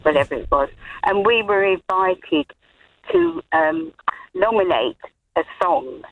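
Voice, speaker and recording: A woman's voice: mid-range voice, wildly swooping pitch, takes it slowly, gravelly. A woman calling in to a radio show, chatting hesitantly with many restarts and fillers. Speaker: caller. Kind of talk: casual radio phone-in chat. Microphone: telephone. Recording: tinny phone line.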